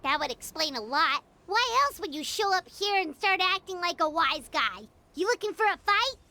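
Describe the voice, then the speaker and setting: A woman voicing a tiny, excitable, childlike fairy companion with a squeaky, indignant manner in a video game. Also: moderate pace, heavily acted. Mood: scornful. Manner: squeaky, speaks of herself in third person.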